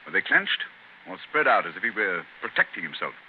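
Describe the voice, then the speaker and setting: An actor playing a brisk, theatrical English gentleman detective in a 1930s radio drama, repeating phrases and firing off questions. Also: low voice, very animated. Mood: probing. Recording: scratchy, tinny 1930s radio recording.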